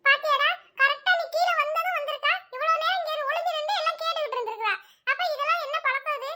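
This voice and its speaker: Brief: scolding cartoon mother-in-law, very high and quick, voiced by a woman. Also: heavily acted. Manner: nagging, calls out loudly. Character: scolding old mother-in-law, sharp-tongued. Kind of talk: cartoon voice acting.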